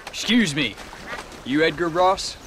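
An actor playing a polite but grimly vengeful young gunslinger with an American Western accent in a video game. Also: male voice, a little high, animated, a little slow, background noise. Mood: polite, determined. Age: young adult. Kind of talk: video game cutscene acting. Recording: clear outdoor ambience behind the voice.

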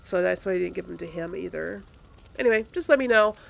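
A woman's voice: on the low side, expressive, quick pace, creaky. A woman giving casual, chatty gameplay commentary to her viewers, often thinking aloud. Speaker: host of a video game let's play series.

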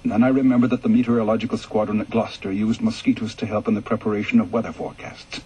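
A man reading a ghost story aloud for radio in a measured, calm way, going soft and low in the reflective passages. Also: breathy edge, highs cut off. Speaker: story reader.

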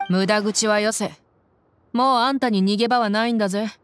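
A woman's voice: mid-range voice, fairly even intonation, fairly quick, faint music behind, close to an everyday voice. Actress as tough, brusque mercenary woman. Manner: brusque, tomboyish. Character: tough, blunt young female mercenary. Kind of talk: Japanese game voice acting.

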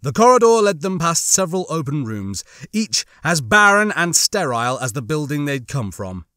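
A man narrating a suspense novel as an audiobook, with measured, dramatic storytelling. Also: fairly high pitch, big pitch swings, pushed and bright, dry recording, studio quality. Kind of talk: scripted dramatic fiction narration.

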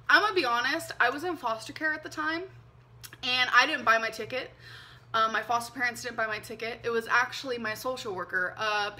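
A woman in her twenties telling a personal story to camera from her bedroom, chatty and animated, with lots of ums and likes. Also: a little high. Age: 20s. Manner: chatty, animated, frequent "um" and "like", hand gestures. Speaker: vlogger. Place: bedroom, indoors. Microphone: camera mic, seated facing camera.

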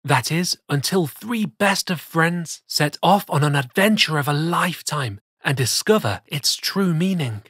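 A man narrating a children's story as host, reading rhymes in a theatrical, deliberate way. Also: a little high, expressive, husky, no room sound, studio quality. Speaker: storyteller host of a children's read-aloud show. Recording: soft music bed behind the voice. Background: soft music.

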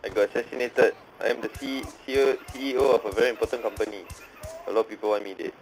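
A man's voice: on the low side, fairly even intonation, racing, music in the background, poor audio. Joking Singaporean guy on game chat. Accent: Singaporean English. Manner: joking, plays mock boss and job interviewer. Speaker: gamer in team voice chat.

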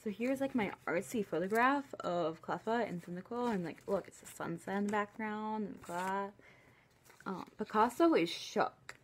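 A young woman reads a story aloud in a casual vlog style, at a middle pitch. She keeps stepping out of the reading with playful, mock-indignant comments. It is recorded in a living room on a camera a little way off, with faint noise behind her. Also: moderate pace. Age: young adult. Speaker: video creator. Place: living room at home, indoors.

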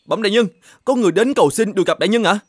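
A man voicing a deferential officer in an animated folk tale, briskly reporting to his superior. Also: high voice. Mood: dutiful, earnest. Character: dutiful, deferential male officer serving the governor.

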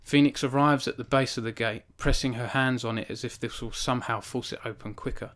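A man's voice: mid-range voice, fairly even intonation, fairly quick, fairly bright tone. A man in his thirties, an author hosting a live stream from a small home room into a studio microphone, reading from his novel and then chatting earnestly with viewers, with frequent restarts. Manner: earnest and self-conscious, with frequent restarts and fillers when chatting. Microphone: studio microphone on a stand in front of him.

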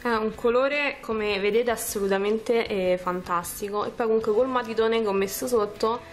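A woman's voice: on the high side, normal speed, faint music behind. A woman, casually talking through a makeup tutorial step by step in an informal, chatty way. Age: 20s. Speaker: beauty vlogger. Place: small furnished room at home, indoors.

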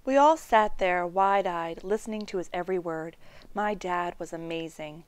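A woman reading a children's storybook aloud in a measured, expressive storytelling manner. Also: lowish voice, a little hiss or hum. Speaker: storybook reader. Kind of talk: read-aloud children's book narration.

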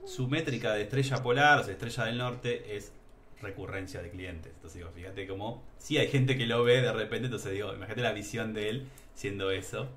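A man in his thirties with an Argentine accent, hosting a casual podcast interview over a headset on a video call and adding relaxed, chatty asides. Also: on the low side, a little fast, slight background noise. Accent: Argentine Spanish. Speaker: podcast host. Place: home room, indoors.

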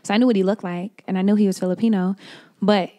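A woman's voice: mid-range voice, fairly even intonation, fast, mellow. Young woman from Oklahoma, candid and slangy podcast guest on a studio mic. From Oklahoma, USA. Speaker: podcast host, guest this episode. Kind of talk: casual interview chat. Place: small studio, on a couch. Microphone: studio mic on a stand, headphones.

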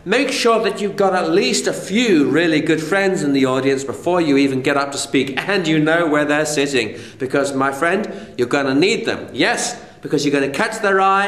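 A man's voice: on the high side, a bit bright, reverberant. A middle-aged man giving presentation advice straight to camera, emphatic and full of rhetorical questions. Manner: emphatic, rhetorical questions, addresses viewers as friends. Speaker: keynote speaker. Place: hotel room indoors.

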